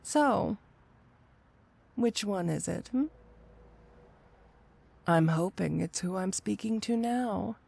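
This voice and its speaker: A woman's voice: mid-range voice, gravelly, a little mellow, slightly rough, exaggerated voice. A woman playing a flirty, teasing supervillain cat burglar in an ASMR roleplay audio drama, with a coy, seductive delivery. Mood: musing, probing. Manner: coy, teasing, drawn-out delivery with rhetorical tag questions.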